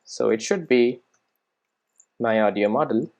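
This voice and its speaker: A man giving an unscripted step-by-step software tutorial as an instructor, often checking that the listener follows with short tag questions. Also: medium pitch, measured pace.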